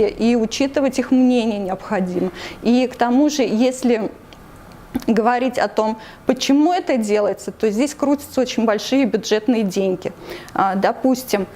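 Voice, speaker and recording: Woman, from Tatarstan, interview guest, earnest, hesitant fillers, higher-pitched voice. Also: darkish tone. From Tatarstan, Russia. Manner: hesitant, many fillers and restarts. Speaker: interview guest, anti-corruption committee member. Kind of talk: earnest explanatory interview answers.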